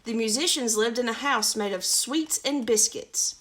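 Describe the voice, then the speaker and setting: A woman's voice: fairly high pitch, moderate pace, fairly bright tone, a little hiss or hum. A woman reading a children's storybook aloud on a livestream, chatty and informal in her asides. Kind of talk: children's picture-book read-aloud with casual asides.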